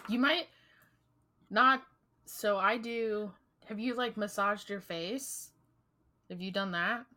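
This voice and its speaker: A woman's voice: medium pitch, slow pace, bright, forceful tone. A woman co-hosting a book podcast in casual chat, often laughing and offering short supportive replies. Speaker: podcast co-host.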